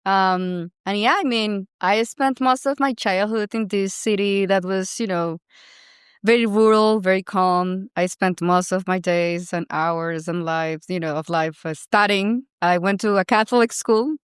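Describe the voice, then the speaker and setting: A woman's voice: mid-range voice, fairly even intonation, measured pace, smooth, no echo, clean studio sound. A woman in her thirties with a Colombian accent, a doctor and founder chatting as a podcast guest, filling her talk with "you know" and "like". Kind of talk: casual interview conversation.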